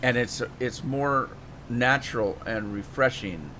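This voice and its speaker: A man's voice: mid-range voice, slow, sharp tone, low-quality recording. A man chats through a live stream of a farming game in a rambling, homespun manner, often stopping and restarting his thoughts. His voice is a little worn, as if he is losing it. A faint noise sits under the desk-mic recording. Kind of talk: casual live-stream chat while playing.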